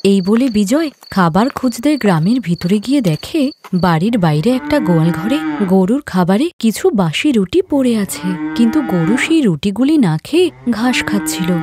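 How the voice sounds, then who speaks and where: A woman's voice: medium pitch, animated, normal speed, a crowd in the background. A woman giving scripted narration of a moral story as the storyteller.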